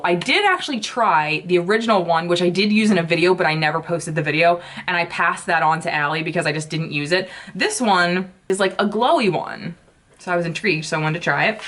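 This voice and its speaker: A woman's voice: lowish voice, a bit bright. A woman beauty vlogger chatting casually while she does her makeup, swearing often and gushing about products.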